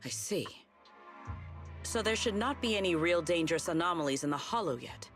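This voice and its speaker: An actress playing a tall, formal, composed female warrior in an anime video game, with measured, stiff phrasing. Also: lowish voice, animated, soft background music, close to an everyday voice.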